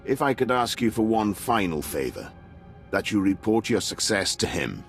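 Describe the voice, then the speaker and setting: Imperial colonel: very low register, grave, pompous, deferential to superiors, scornful of rebels. Game cutscene. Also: male voice, big pitch swings, normal speed, soft background music. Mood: triumphant, formal. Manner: pompous, formal military address. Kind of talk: video game cutscene acting.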